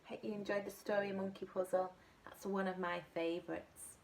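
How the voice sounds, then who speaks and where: Soft-spoken nursery teacher woman. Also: medium pitch, flat delivery, normal speed, creaky, a little hiss or hum. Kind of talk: story time for young children.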